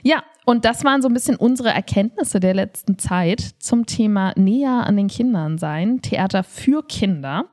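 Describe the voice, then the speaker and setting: Woman in relaxed podcast conversation, medium pitch. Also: moderate pace, few pauses. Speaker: podcast co-host; improv theatre teacher. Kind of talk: casual podcast chat.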